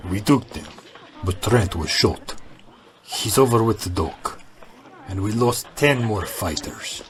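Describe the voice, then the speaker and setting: A man's voice: fairly low pitch, animated, takes it slowly, noisy background. A man playing a leering, brutish fighter in an audio drama, with a crude, drawling delivery. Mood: grim reporting.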